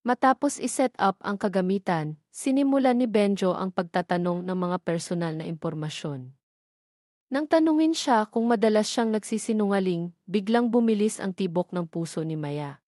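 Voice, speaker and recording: A woman narrates a scripted audio story. Her voice sits at an average pitch and her delivery is measured and clearly read out. The recording is dry, with little room sound. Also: expressive, mellow, no room sound.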